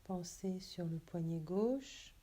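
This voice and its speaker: A woman yoga teacher leading a guided relaxation meditation in a soft, breathy, hushed and soothing, unhurried way. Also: on the low side.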